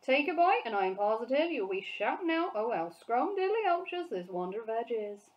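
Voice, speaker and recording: A woman's voice: on the high side, sing-song, normal speed, muffled recording. A woman voicing a kind, dignified male giant who speaks earnestly and gets his words muddled. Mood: coaxing. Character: gentle kindly big male giant, dignified.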